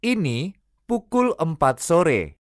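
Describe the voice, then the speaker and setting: A woman reading short phrases slowly and clearly, each repeated for language learners. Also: low voice, big pitch swings, no echo, clean studio sound. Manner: careful, clearly enunciated. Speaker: native Indonesian speaker reading phrases.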